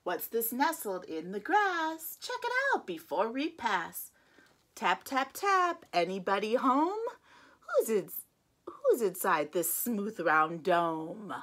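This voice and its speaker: A woman preschool teacher reading a picture book aloud to young children, with a playful sing-song delivery, gasps and slow counting. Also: mid-range voice, sing-song, fairly bright tone.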